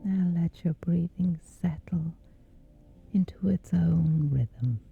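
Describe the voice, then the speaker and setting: A woman with a low, husky, whispery voice leads a guided sleep meditation. She is gentle and soothing, speaking extremely slowly with long pauses. Music plays behind her soft words. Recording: dull muffled audio under music.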